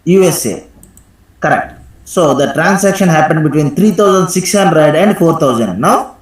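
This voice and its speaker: A man teaching a live software tutorial step by step, instructing and often checking in with a questioning "right?". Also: on the high side, lively intonation, normal speed. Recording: echoey room sound.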